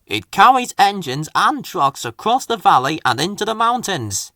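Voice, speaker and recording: A man's voice: sits high, big pitch swings, moderate pace, bright, forceful tone, no room sound, clean studio sound, voice pushed up, heavily acted. A man voicing a dutiful engine driver who announces and explains like a guide in a children's storybook. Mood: announcing.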